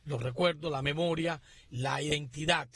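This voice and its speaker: A man in his sixties, from Cuba, talking in a slightly high voice with a steady, deliberate delivery. The studio sound is close and dry, with no room around it. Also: breathy edge, no room sound. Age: 60s. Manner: measured, deliberate political commentary. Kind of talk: radio panel discussion.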